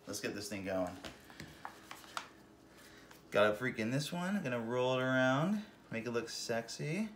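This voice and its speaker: A man's voice: medium pitch, sing-song, a little slow, long pauses. A man in his thirties cooks in a small home kitchen and narrates each step, cracking jokes and swearing. His voice sits in a middle range, picked up by a camera mic a few feet off, and it bounces around the room with a faint hum of ambience behind it. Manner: jokey, swears, keeps saying "freaking". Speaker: home cooking show host. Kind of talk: goofy cooking demonstration. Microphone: camera mic from a few feet away. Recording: roomy kitchen echo.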